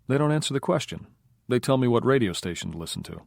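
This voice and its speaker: A man voicing a laconic, deadpan male producer. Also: mid-range voice, quick pace, gravelly, no room sound, clean studio sound, voice pushed up. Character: cool, dry-witted male film producer.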